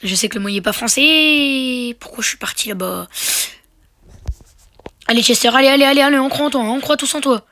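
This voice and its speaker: A man's voice: very high voice, a little fast. Young man with a sore throat, gaming chatter. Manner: excitable, slangy, drops to muttering under his breath. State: sore throat, slightly congested. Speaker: mobile game youtuber. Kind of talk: live gameplay commentary.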